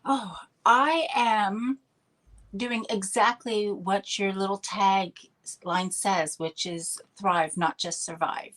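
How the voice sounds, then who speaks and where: A woman's voice: mid-range voice, fairly even intonation, slow pace, fairly bright tone. A middle-aged woman with a Canadian accent, chatting as a podcast guest in an upbeat, laughing way. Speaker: podcast guest, healer and mentor. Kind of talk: casual interview chat.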